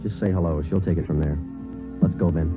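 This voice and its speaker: Male actor for world-weary American detective sergeant, low and clipped, vintage radio sound with music underneath. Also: a little fast, phone-quality sound, lightly acted. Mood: quiet, dry. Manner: clipped, deadpan. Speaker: lead actor and narrator. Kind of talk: 1940s radio detective acting. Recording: old 1940s radio recording, music behind.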